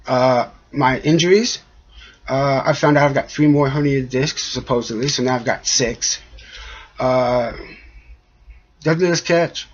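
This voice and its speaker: A man speaking in a halting, aggrieved way, rambling through a personal complaint with a husky voice. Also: medium pitch, slight background noise. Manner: halting, frequent trailing pauses, aggrieved. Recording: dull lo-fi audio.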